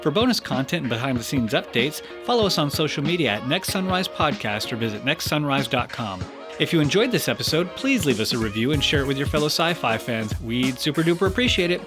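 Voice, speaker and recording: A man's voice: fairly high pitch, expressive, quick pace. A man announcing a podcast in scripted narration, reading credits and sign-off over music.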